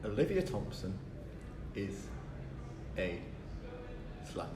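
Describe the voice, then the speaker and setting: A man's voice: fairly low pitch, sing-song, slow pace. A man playing a troubled, bitter teenage schoolboy in a script read-through. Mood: bitter, angry. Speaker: actor at a script table read. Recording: roomy echo with faint noise.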